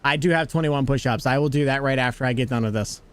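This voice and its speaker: A man's voice: medium pitch, fast talker. A young American man hosting a casual gaming livestream, greeting viewers and joking as he plays. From United States. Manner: chatty, greets viewers, jokey. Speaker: livestream host.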